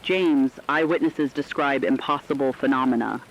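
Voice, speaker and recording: A man playing a stern radio reporter, reading scripted horror news with a serious, deliberate, foreboding delivery. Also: medium pitch, fairly even intonation, muffled recording, lightly acted. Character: stern radio reporter warning listeners of doom.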